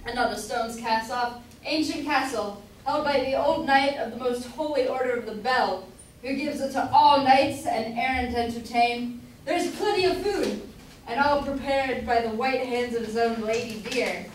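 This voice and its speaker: A woman's voice: on the high side, moderate pace, natural voice. Echoey, distant courteous squire, played by a woman. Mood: grand, amused. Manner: declamatory, reading archaic verse. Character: courteous, florid squire announcing a castle's hospitality. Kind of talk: amateur staged reading of Jacobean comedy. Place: live staged reading in an echoey room. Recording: roomy, distant mic, faint noise.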